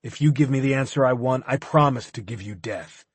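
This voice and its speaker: A man voicing a calm, threatening male CIA agent who speaks in a controlled, clipped way. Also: medium pitch, a little fast, slightly rough, dry recording, close to an everyday voice. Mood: cold.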